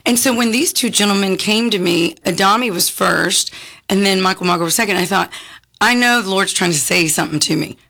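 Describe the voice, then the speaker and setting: A woman with a raspy, hoarse voice, a guest chatting casually on a radio talk show, clearing her throat often and restarting her sentences. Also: mid-range voice, a little fast, sharp tone. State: hoarse from talking a lot. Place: radio studio.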